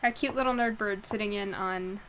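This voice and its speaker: A woman's voice: mid-range voice, moderate pace, creaky, a bit bright, a little hiss or hum, rough recording. Chatty woman, a little nasal. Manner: rambling, chatty, laughs easily. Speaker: live stream host and book reader. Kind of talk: casual chat with viewers before a reading. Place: at home in her bedroom. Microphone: headset while wearing headphones.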